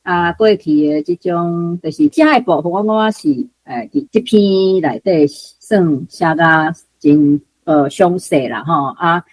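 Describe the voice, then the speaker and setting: A woman's voice: fairly low pitch, expressive, measured pace, hardly any pauses, darkish tone, no echo, low-quality recording. A woman with a Taiwanese accent giving unscripted explanatory commentary in a conversational way, full of particles and small asides. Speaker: guest commentator.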